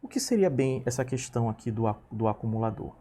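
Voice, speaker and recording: A man's voice: mid-range voice, expressive, fast talker, dark tone, husky. A man in his thirties teaching a programming lesson, patiently walking through each step and checking in with his students. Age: 30s. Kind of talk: screen-recorded coding lesson.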